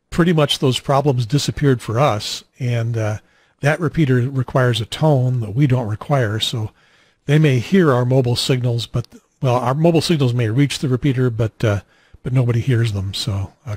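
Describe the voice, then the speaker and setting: An older man hosting an amateur radio net, explaining technical matters conversationally in a halting, methodical way. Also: medium pitch, a little mellow, slightly rough. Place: small home radio room, indoors. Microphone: handheld radio mic held at mouth, boom mic nearby.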